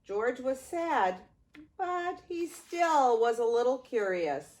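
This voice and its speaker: An older woman reads a picture book to young children at story time. Her voice sits fairly high and swings widely in pitch. She takes it very slowly, stretching words and leaving pauses for expression. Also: very animated, darkish tone. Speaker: grandmother reading a storybook to grandchildren.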